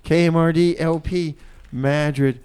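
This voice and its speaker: A male radio host doing loose comedy talk. His voice is slightly high and very clean and smooth, and he hedges and repeats phrases as he goes. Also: fairly even intonation, a little slow, a little mellow. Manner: hesitant, repeats himself.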